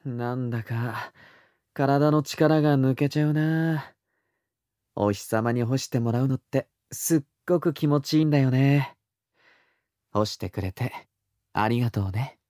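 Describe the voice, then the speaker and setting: A gentle young boyfriend, acted by a man, speaks slowly and very close in a dry, intimate sound. His voice is soft and breathy at a middle pitch. He is affectionate, sleepy and lightly teasing, like an ASMR audio drama lover. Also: dry recording, lightly acted. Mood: playful, content. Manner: slow, tender, murmuring, slipping into whispers.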